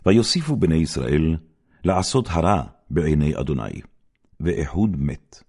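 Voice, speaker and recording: Very deep, expressive male scripture reader. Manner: measured, formal, declamatory phrasing. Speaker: narrator reading scripture. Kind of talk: solemn dramatic Bible audiobook reading.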